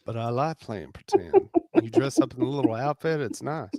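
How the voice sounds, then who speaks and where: A woman's voice: a bass voice, wildly swooping pitch, normal speed, warm tone, no room sound, clean studio sound. A woman co-reader chatting casually and joking between parts of a story reading.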